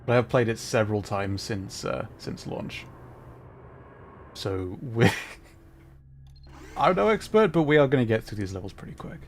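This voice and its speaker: A man hosting a livestream, giving casual, halting gameplay commentary as he thinks aloud. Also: on the low side, expressive, a little hiss or hum. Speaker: livestream host playing a video game.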